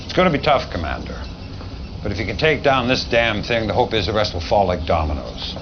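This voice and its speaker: A man's voice: on the low side, sing-song, brisk. An actor playing a blunt, weary general briefing his commander in a video game cutscene. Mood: urgent resolve. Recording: dull lo-fi audio, clear background noise.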